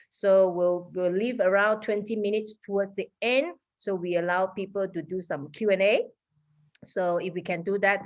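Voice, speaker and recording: A woman from Malaysia with a Malaysian accent presenting at an online meeting, quick and full of fillers. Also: medium pitch. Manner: fast, with many fillers. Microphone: video call. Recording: dull lo-fi call audio.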